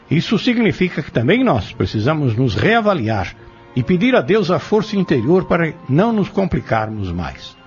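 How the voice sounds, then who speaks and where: A man with a Brazilian accent giving a scripted moral reflection in a solemn, didactic way. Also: medium pitch. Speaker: spiritist commentator. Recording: dull lo-fi radio recording with music.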